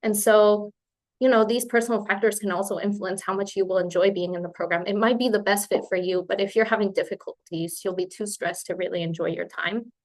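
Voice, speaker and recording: A woman's voice: medium pitch, fairly even intonation, a little fast. A woman in her twenties from Spain, born in Colombia, giving an informal webinar presentation as a PhD student. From born in Colombia, grew up in Spain. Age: 20s. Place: remote webinar.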